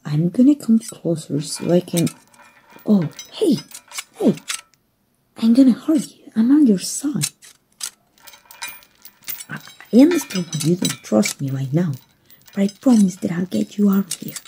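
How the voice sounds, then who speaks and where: A woman from Mexico playing a kind undercover rebel rescuer in an ASMR roleplay, with folksy, hushed speech and a soft, breathy voice. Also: medium pitch, sing-song, a little slow, long pauses, a little hiss or hum. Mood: hushed, urgent. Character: kind human rescuer, gruff-talking, reassuring undercover rebel.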